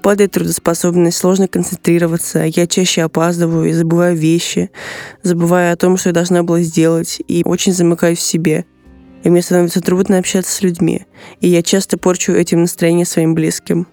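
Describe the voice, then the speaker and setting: Even-toned woman, close dry sound. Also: lowish voice, brisk, no room sound, soft background music. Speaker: podcast team member. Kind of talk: prepared first-person account.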